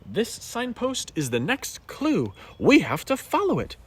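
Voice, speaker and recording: A man's voice: very high voice, wildly swooping pitch, unhurried, breathy edge, distant mic, pitched above the performer's own voice, full character voice. A man voicing an eager, earnest male fox from a children's storybook. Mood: confident, excited. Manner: musing, earnest.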